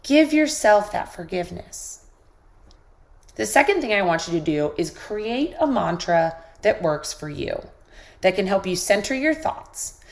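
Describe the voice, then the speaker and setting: A woman hosting a podcast, giving an encouraging motivational talk while sick with a squeaky voice. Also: big pitch swings, a little slow. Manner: encouraging, coaching listeners directly. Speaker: podcast host.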